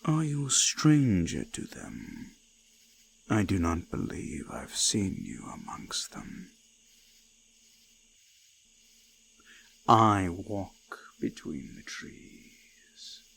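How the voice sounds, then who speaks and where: A man voicing an ancient, menacing forest god in slow, archaic, deliberate speech that often sinks to a gravelly whisper, in a folk horror roleplay. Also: sits low, huge pitch swings, a bit bright, full character voice. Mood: ominous curiosity. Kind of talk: scripted immersive roleplay monologue, folk horror. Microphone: close binaural microphone.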